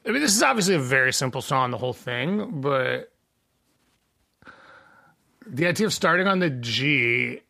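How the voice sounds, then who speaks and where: A man's voice: mid-range voice, very animated, moderate pace, creaky, long pauses, bright, forceful tone, dry recording, clean studio sound. A man guesting on a podcast, chatting casually about his songwriting in a rambling way with lots of fillers.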